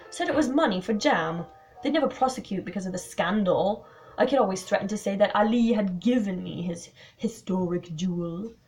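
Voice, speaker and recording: A woman voicing an angry, scornful young woman, speaking sharply and loudly. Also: on the low side. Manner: sharp, bitter and loud.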